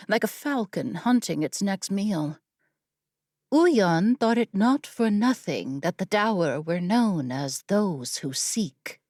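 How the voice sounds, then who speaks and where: A woman narrating fantasy fiction from a script in a measured, deliberate storytelling manner. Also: medium pitch, a little mellow, no echo, clean studio sound. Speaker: audiobook narrator.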